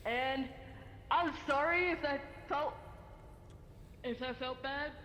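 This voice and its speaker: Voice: high-pitched, takes it slowly, sharp tone. A game master improvising a roleplay, narrating the action and speaking for characters. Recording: echoey reverberant voice chat with faint noise.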